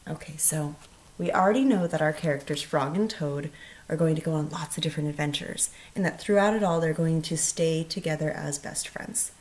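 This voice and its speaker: A woman speaking as a first grade teacher in a children's read-aloud, expressive and putting questions to the listener. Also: deep voice, fairly quick.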